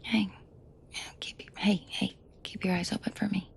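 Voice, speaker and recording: A woman playing a commanding, protective mafia boss in an intimate roleplay audio drama, shifting between cold authority and soft coaxing. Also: mid-range voice, steady tone, normal speed, gravelly, in a whisper, natural voice. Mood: tender, protective. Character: commanding female mafia boss, furious yet protective and tender.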